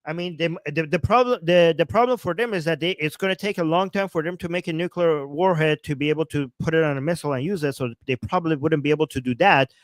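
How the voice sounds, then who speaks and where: A man with a Persian accent hosts a casual livestream. His voice sits fairly high, and it sounds dry and close. He talks in an excited, rambling way, breaking off and restarting his sentences. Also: fast, few pauses, no echo. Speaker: political livestream host.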